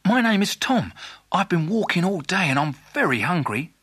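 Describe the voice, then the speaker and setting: A courteous, loyal talking cat from a children's storybook, acted by a man. The voice sits high and bright, with an earnest, encouraging manner. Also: big pitch swings, moderate pace, slightly rough, dry recording, studio quality, exaggerated voice. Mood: polite, hungry.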